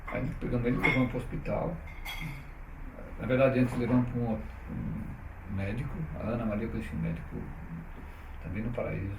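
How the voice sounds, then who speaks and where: A man's voice: mid-range voice, level tone, a little fast, vocal fry. A middle-aged man, telling stories as an interviewee. His talk is chatty and loose, full of restarts and questions tagged on. The mic sits well away from him in an echoing room, with a light hiss behind him. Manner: chatty, frequent "né?" tags, restarts. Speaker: interviewee, publisher. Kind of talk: relaxed conversational storytelling. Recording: roomy, distant mic, faint noise.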